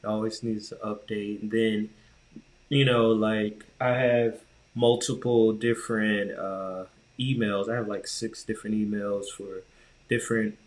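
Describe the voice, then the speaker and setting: A man's voice: fairly low pitch, flat delivery, unhurried, poor audio. An American man co-hosting a podcast, talking casually about tech in an informal, slangy, opinionated way. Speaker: podcast co-host.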